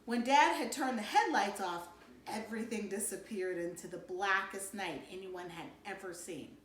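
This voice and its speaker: A woman reading a children's novel aloud in an animated, dramatic storytelling manner. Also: mid-range voice, normal speed. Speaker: read-aloud narrator. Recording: roomy, distant mic, faint noise.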